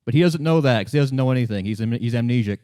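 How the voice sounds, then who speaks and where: A man's voice: mid-range voice, very fast, dark tone, smooth, no echo, studio quality. A man narrating a tabletop role-play as game master in a conversational style.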